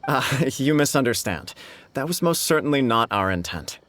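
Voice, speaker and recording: A man as a courteous, mid-pitched samurai official. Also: lively intonation, normal speed, a bit bright, no room sound, natural voice. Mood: gracious. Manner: formal, polite, diplomatic. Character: courteous, dignified male samurai official of a shogunate. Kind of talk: video game voice acting.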